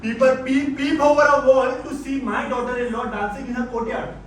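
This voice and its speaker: A man's voice: very high-pitched, fairly even intonation, a little fast, a bit bright. A man with an Indian accent playing a stern, controlling old father-in-law in a stage play, authoritative and disapproving. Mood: angry, scornful. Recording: very echoey, distant stage recording with noise.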